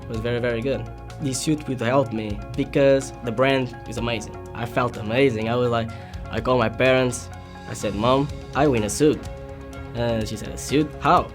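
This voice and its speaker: A man's voice: medium pitch, steady tone, normal speed. A young man from Portugal with a Portuguese accent, a business student telling his story enthusiastically in imperfect English. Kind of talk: personal testimonial. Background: background music.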